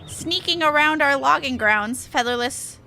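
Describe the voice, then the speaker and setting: Shrill, squawking bird-creature. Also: female voice, unhurried, creaky, bright, forceful tone, faint music behind, full character voice. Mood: proud, fierce. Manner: inverted word order, punctuated with squawks. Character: excitable squawking bird-beastman with inverted speech. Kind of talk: game dialogue read aloud in character.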